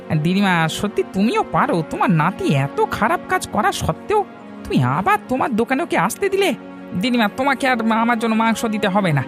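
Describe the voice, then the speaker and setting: A man's voice: extremely high pitch, exaggerated voice. A man voicing an indignant, accusing village shop customer in a fairy-tale cartoon. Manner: accusing, huffy.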